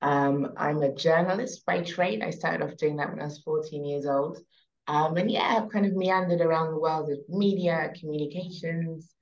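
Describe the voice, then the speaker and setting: A woman with a London accent, in her thirties, chatting as a podcast guest in a relaxed way with many ums and soft trailing endings. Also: sits low, normal speed, lo-fi sound. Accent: British, London. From England. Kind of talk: casual podcast interview.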